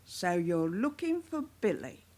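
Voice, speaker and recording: An actress playing an anxious, sharp-tongued middle-aged mother of criminal lads, with a working-class London accent, in a radio drama. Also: lowish voice, big pitch swings, measured pace. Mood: guarded, probing. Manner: wary, evasive, scolding.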